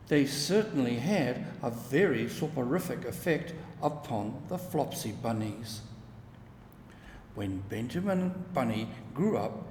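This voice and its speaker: A man narrates a children's story from a script in a measured, deliberate way. He reads very slowly at a middling pitch. His voice rings in an echoey, reverberant space. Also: darkish tone. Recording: echoey reverberant room with clear background hiss.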